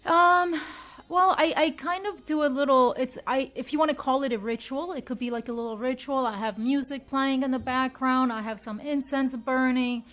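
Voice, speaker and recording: A woman's voice: sits high, steady tone, normal speed, fairly bright tone. A woman from Switzerland, a guest artist, chatting casually in an interview and thinking aloud as she answers.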